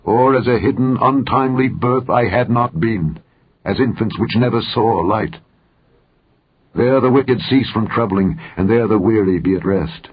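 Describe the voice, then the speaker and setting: A man's voice: low voice, slightly rough. A man reading the part of an anguished, grieving man lamenting his life in a solemn, declamatory, mournful manner. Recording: tinny, phone-like narrow-band recording.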